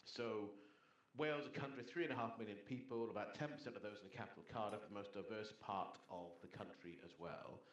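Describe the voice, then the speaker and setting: A man's voice: on the low side, brisk, reverberant. A middle-aged man born in Zambia, a former economy minister, making earnest, persuasive points on a conference panel. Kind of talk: panel discussion talk. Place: conference hall.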